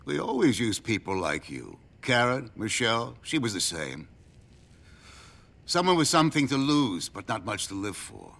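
An actor playing a smug, cynical middle-aged American government agent, sardonic and commanding, in a video game cutscene. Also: male voice, fairly low pitch, big pitch swings, moderate pace, creaky, a bit bright, full character voice. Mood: smug, teasing.

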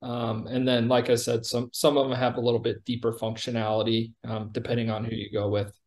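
A man's voice: mid-range voice, level tone, brisk, darkish tone. A man in his forties giving an informal product demo over a video call in a plain-spoken, explanatory way. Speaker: company chief executive giving a product demo. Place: indoor room on a video call. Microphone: video call microphone.

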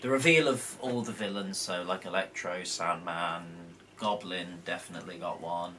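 Young male co-host, deep and croaky, unhurried, rambling, faint background noise. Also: fairly even intonation, pushed and bright. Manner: rambling, self-correcting. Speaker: co-host vlogger. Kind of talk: casual film review chat. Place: bedroom at home.

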